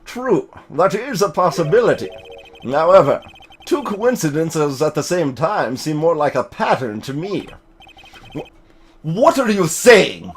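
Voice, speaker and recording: A man's voice: fairly high pitch, big pitch swings, measured pace, slight background noise. Man voicing an earnest young male defense lawyer. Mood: probing. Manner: earnest, questioning.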